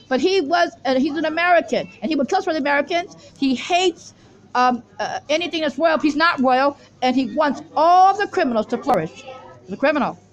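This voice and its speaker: Woman w a really high voice, rambling on about her beliefs, insistent, keeps repeating herself, jumps around, no script. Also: rough recording. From Israel. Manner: insistent, repetitive, disjointed. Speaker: self-styled religious teacher introducing the weekly Torah portion. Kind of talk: rambling unscripted monologue.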